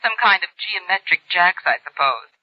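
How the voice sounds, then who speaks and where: A woman's voice: fairly low pitch, expressive, moderate pace, no echo. A woman playing a chatty, gossipy housewife on a long-distance telephone call in an old radio drama. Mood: breezy, chatty. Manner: gossipy, breezy.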